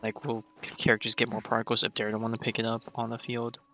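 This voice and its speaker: A man's voice: mid-range voice, completely flat delivery, quick pace, bright, forceful tone. A man giving casual live commentary as a game streamer, rambling and thinking aloud. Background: faint game music.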